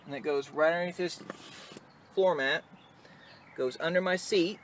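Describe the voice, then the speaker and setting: A man with a slightly higher-than-average voice talks through a hands-on tutorial in a casual, unscripted way. He is plain-spoken and sounds occupied with the job as he explains it. The handheld recording from a truck cab carries faint vehicle noise. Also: normal speed. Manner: plain-spoken, hands busy while talking. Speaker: amateur video maker giving a how-to. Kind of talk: casual improvised tutorial talk. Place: in and around a pickup truck cab. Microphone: handheld phone or camera close to the work.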